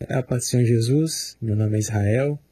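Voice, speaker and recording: A man's voice: mid-range voice, normal speed, warm tone, breathy edge, no room sound. A man, a church member, formally asking the pastor a question. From Rio de Janeiro.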